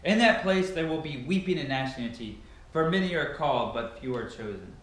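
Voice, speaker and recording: A man's voice: a little high, lively intonation, a little fast, fairly bright tone. Very echoey man reading scripture dramatically. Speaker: amateur Bible reader. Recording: very echoey, reverberant room.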